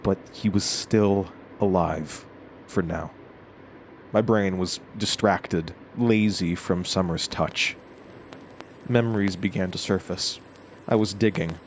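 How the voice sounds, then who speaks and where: An actor playing a haunted narrator in a horror audio drama, telling his own story in a grave, halting way. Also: sits low, a little hiss or hum.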